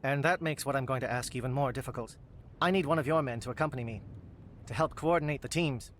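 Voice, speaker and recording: Man acting a brisk alien captain, rapid clipped delivery, mid-pitched, formal, pragmatic, video game drama, faint background ambience. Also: slight background noise, lightly acted. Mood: resolute.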